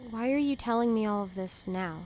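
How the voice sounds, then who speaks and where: Actress as naive young vault girl, high voice, nervous n earnest, audio drama, faint noise. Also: fairly quick, darkish tone, close to an everyday voice. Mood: confused. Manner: hesitant, earnest, questioning. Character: naive, anxious young woman fresh from a vault. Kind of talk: fan audio drama acting.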